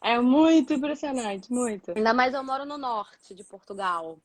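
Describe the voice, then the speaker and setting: A woman's voice: a little high, expressive, moderate pace, no echo. A Brazilian woman chatting casually and explaining things as a guest, repeating words for emphasis. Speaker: guest, doctoral student living in Portugal.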